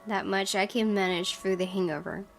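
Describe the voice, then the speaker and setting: A woman's voice: medium pitch, steady tone, moderate pace, vocal fry. A woman reading a visual novel aloud as scripted first-person narration for a gaming video. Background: music.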